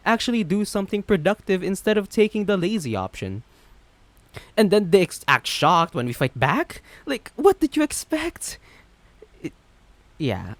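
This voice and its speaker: A man's voice: on the high side, no echo. Man acting as smug, sardonic supervillain date, dry close sound. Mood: exasperated ranting, then smug, confiding. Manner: chatty, sardonic, self-assured. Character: smug, flirty businessman supervillain on a blind date. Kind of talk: romantic roleplay audio drama, conversational.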